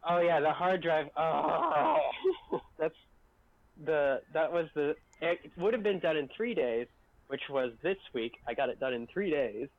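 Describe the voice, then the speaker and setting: A man's voice: a little high, big pitch swings, a little slow, dry recording, phone-quality sound. A man rambling casually and self-deprecatingly about his work on a group livestream. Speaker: video editor and voice actor.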